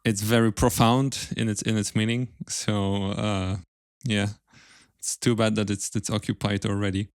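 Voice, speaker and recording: Low-voiced male podcast host, dry sound. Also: little pitch movement, unhurried, smooth, dry recording. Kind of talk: podcast interview, with scripted sponsor read.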